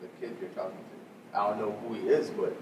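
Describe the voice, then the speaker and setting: A young man acting as a nosy older brother, probing and bossy, with a lowish voice and casual slang. He sounds distant in an echoey room with steady noise around. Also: fast talker, close to an everyday voice. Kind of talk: amateur short film acting. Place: school library. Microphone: far camera. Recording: echoey, from far off, noisy.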